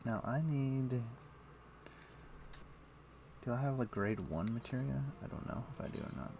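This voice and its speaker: A man streaming a game, chatting casually and thinking aloud in a halting, muttering way as he plays. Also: mid-range voice, lively intonation, vocal fry, slight background noise. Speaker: game livestreamer. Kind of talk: casual livestream chat with gameplay commentary.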